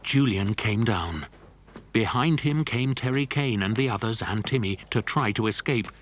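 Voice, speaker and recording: A man's voice: mid-range voice, a bit bright, a little hiss or hum. A man narrating a scripted children's adventure story.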